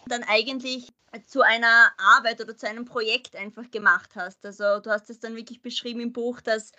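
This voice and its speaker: Young woman hosting a podcast, bright higher voice, chatty and easygoing. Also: fairly even intonation, fairly quick, muffled recording. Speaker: podcast host. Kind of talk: casual podcast interview.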